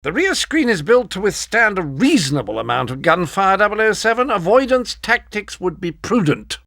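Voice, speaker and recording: An actor playing an exasperated older British gadget quartermaster in a video game, formal, precise and scolding. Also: male voice, high voice, very animated, moderate pace, creaky, sharp tone, no room sound, studio quality, full character voice. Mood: fussy, admonishing. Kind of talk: video game mission briefing.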